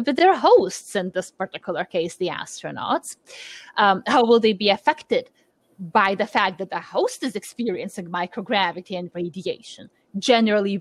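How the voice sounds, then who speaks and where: A woman's voice: mid-range voice, no room sound. A woman in her forties from Lithuania, a research scientist answering interview questions in a thoughtful, hesitant way with many fillers.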